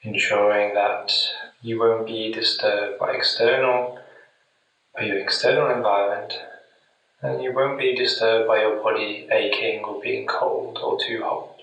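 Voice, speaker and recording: A man guiding a meditation in a soothing, deliberate manner with long pauses, speaking gently to the listener. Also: on the low side, steady tone, slight background noise. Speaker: meditation guide. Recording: very echoey room, distant mic.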